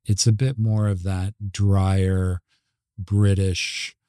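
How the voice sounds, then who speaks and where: A middle-aged man from Montreal with a Canadian accent, talking casually and reflectively as an interview guest, with halting phrasing. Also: deep voice, level tone, dark tone, clear, resonant tone, dry recording, clean studio sound. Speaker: advertising creative executive, interview guest.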